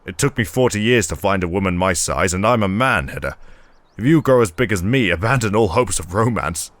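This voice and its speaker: Big Norse warrior dad, male actor, low voice, close dry sound, measured and proudly teasing, fantasy audio drama. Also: animated, no room sound, natural voice.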